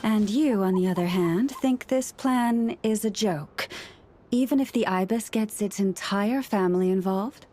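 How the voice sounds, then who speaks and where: A woman playing a gentle, composed young woman in a video game, with measured, articulate and gently wry delivery. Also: mid-range voice, close to an everyday voice. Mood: reasoning. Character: gentle, composed young woman who understands animal speech.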